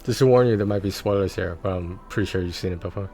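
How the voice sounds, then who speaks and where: A man chatting casually on a game livestream, halting and repeating words as he goes. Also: low-pitched, a little mellow. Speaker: game streamer. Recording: music behind the voice.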